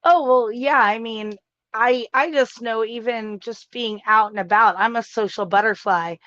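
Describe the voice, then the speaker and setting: A woman's voice: a little high, unhurried, a bit bright, dry recording, muffled recording. A woman co-hosting a casual live stream, upbeat and chatty, often saying "you know". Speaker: co-host.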